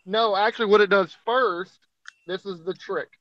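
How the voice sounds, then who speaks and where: A man's voice: sits high, fairly even intonation, moderate pace, dry recording, lo-fi sound. A man chatting casually on a live stream, explaining things patiently step by step. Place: Texas.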